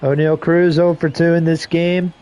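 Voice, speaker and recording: A slightly hoarse man on a headset mic giving casual play-by-play commentary, with relaxed, rambling asides between calls. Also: a little high, normal speed. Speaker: streamer doing play-by-play.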